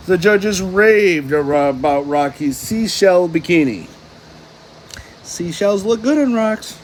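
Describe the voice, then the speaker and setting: A man reads a children's storybook in a high, very animated voice, taking his time. He is playful and chatty, dropping in casual asides, over clear background noise.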